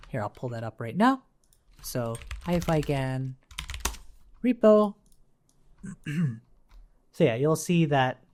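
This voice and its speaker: A man giving an informal coding tutorial as an instructor, thinking aloud conversationally and often checking in with the listener. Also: medium pitch. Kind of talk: informal coding tutorial, explaining while demonstrating on screen.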